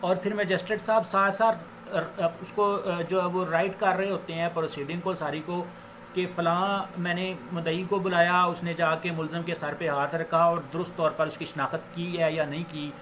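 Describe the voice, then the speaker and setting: A man's voice: sits high, flat delivery, normal speed, a bit bright, rough recording. A man with a Pakistani accent giving an informal, explanatory law lecture, repeating filler phrases and mixing in English legal terms. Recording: noticeable background noise.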